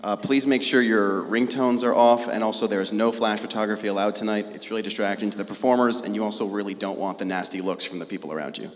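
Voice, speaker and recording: A man's voice: on the low side, fairly even intonation, fast talker, hardly any pauses, in a large hall. A man emceeing a student show, chatty and playful, full of filler words as he banters on stage. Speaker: event emcee. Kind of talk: scripted comic stage banter. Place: large hall with bleachers.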